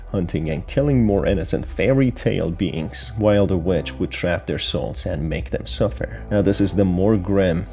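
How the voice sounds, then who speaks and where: A man's voice: low voice, creaky, dark tone. A man reading a scripted explanation of a horror story as narrator. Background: background music.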